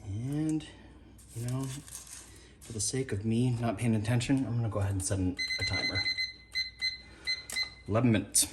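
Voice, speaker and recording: A man's voice: mid-range voice, normal speed, pauses often, echoey room, background noise. A man chatting casually as he cooks in his home kitchen, laid-back and swearing now and then. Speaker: home cook vlogger. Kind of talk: casual cooking narration.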